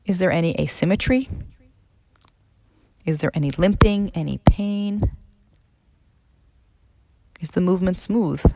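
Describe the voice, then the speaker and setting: A woman narrating a guided meditation as an instructor, in a measured, instructional way with pauses between phrases. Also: lowish voice, gravelly, dark tone, no echo. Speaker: neurologist leading a guided meditation.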